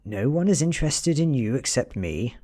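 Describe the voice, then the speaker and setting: A British man voicing a nervous, scheming male investigator in a soft ASMR storybook reading, breathy, uneasy and self-important. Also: mid-range voice, very animated, moderate pace, voice pushed up. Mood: defensive.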